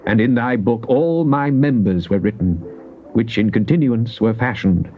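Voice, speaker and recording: An actor playing a fervent psalmist, solemn and theatrical in a dramatized Bible reading. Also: male voice, medium pitch, moderate pace, soft background music, natural voice. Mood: awed. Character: fervent psalmist addressing God in prayer and lament. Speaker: scripture reader. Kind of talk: dramatized Bible reading, British stage delivery. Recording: muffled, noisy old cassette tape.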